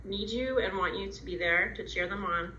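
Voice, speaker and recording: A woman's voice: medium pitch, normal speed, sharp tone, reverberant, lo-fi sound, slight background noise. A woman around thirty giving encouraging advice in a personal testimonial. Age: about 30. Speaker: adult with congenital heart disease.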